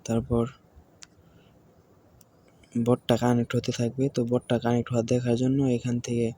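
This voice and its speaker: Flat-voiced Bangladeshi man explaining step by step. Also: medium pitch, moderate pace. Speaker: tutorial presenter. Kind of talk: informal screen-recording tutorial narration. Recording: home recording with faint background noise.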